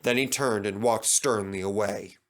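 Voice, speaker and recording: A man's voice: on the low side, normal speed. A man reading a children's railway story as scripted narration.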